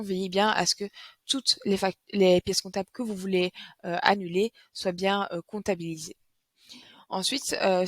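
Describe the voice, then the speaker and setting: A woman's voice: mid-range voice, no echo. Woman giving a step-by-step tutorial, dry sound. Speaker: software tutorial presenter. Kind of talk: screen tutorial, semi-scripted explanation.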